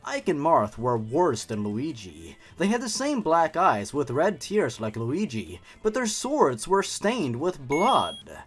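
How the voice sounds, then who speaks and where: Male storyteller, fairly high voice, wildly expressive, theatrical with a sarcastic, mocking edge. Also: slow, few pauses. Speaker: host reading and mocking a fan horror story. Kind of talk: dramatic story reading with mocking asides.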